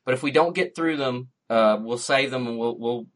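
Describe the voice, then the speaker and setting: A man's voice: medium pitch, moderate pace, a bit bright. A man with a syrupy American drawl chatting casually as a co-host, easygoing and a little hesitant. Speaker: co-host, comic book writer. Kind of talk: casual chat, reading out listener questions.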